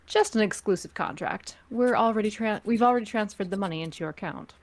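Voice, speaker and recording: A woman playing a cool, condescending female crime syndicate spymaster in a sci-fi script read, with quiet threat in her measured delivery. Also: mid-range voice, lightly acted. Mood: commanding, threatening. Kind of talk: script table read of sci-fi fan drama.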